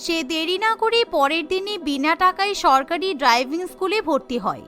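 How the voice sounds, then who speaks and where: A woman's voice: very high voice, animated, moderate pace, a bit bright. A woman reading scripted storytelling narration for a cartoon. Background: background music.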